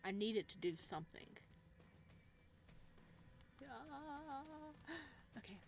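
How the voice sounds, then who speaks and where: A woman's voice: fairly high pitch, very animated, takes it slowly, gravelly, over music. Playful woman reading a visual novel aloud. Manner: playful, giggly, reactive. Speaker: let's player narrating a visual novel. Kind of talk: reading game text aloud with casual commentary.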